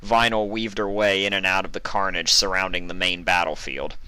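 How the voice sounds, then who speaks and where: A man's voice: low-pitched, little pitch movement, fairly quick, sharp tone, clean tone, no echo. A man reading a story aloud as a dramatic narrator. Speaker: fan fiction reader.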